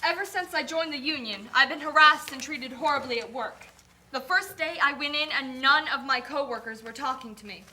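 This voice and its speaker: Casting: a woman as an indignant working mother puppet, very bright high voice, earnest pleas, faint noise behind. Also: moderate pace, rough recording. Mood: resolute complaint. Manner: earnest, pleading, complaining. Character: weary, indignant female factory worker and mother, puppet. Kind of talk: amateur puppet show acting.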